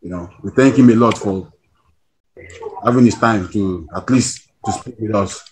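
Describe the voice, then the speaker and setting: A man's voice: fairly low pitch, a little mellow, rough recording. Nigerian man hosting a video call. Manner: laughing, coaxing, halting with fillers. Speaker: host and moderator. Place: Brazil. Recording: video call with clear background noise.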